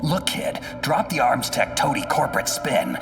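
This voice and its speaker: A gruff, gravelly soldier, cynical and terse. His sardonic game-drama delivery moves widely in pitch over faint music. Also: huge pitch swings, normal speed, recorded from a distance. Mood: scornful, demanding. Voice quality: gravelly, breathy undertone. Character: gruff, cynical, hardened male infiltration soldier. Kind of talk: dramatic video game acting.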